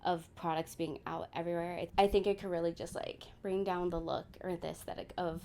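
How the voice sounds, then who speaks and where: A woman's voice: on the low side, level tone, fairly quick, vocal fry, mellow, slight background noise. A young woman chatting casually to her viewers as she gives a room tour, talking to them directly. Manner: chatty, addresses viewers as you guys. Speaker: lifestyle vlogger.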